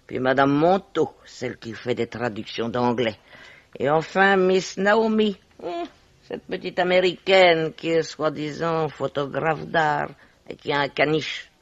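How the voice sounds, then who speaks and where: Nosy, low-voiced old widow, very animated. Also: female voice, no room sound, exaggerated voice. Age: older. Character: nosy, blunt old Russian-born widow of a prince. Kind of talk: 1950s French radio mystery acting. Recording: dull vintage radio recording.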